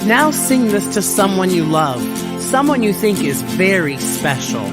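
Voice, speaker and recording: A woman's voice: mid-range voice, sing-song, measured pace. A woman singing a simple children's sing-along song. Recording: music throughout behind the voice. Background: guitar music.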